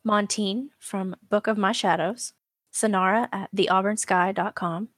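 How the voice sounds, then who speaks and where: A woman co-hosting a podcast, reading a list of names and a scripted message at an even pace. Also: medium pitch, a little slow. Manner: reads out a list of names evenly. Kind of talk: scripted read.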